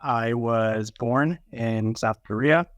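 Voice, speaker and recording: A man's voice: medium pitch, slow, dry recording. A man from New Jersey as a podcast guest, telling his life story casually with lots of fillers. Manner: relaxed, filler-heavy storytelling. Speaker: interview guest. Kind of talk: casual interview chat.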